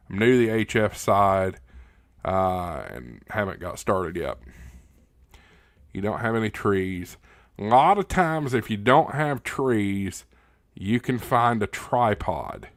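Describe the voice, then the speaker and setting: A man's voice: fairly low pitch, no room sound, clean studio sound. A man hosting a casual live Q&A, reading out viewer comments and answering in a folksy, plain-spoken way. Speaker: livestream host.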